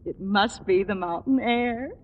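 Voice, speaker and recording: An American actress playing a sweet, prim, fluttery middle-aged wife in old-time radio comedy, with a genteel manner. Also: female voice, mid-range voice, a little slow, dull audio, natural voice. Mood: affectionate, coy. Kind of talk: 1940s-50s radio comedy acting. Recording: noisy old radio broadcast, loud audience.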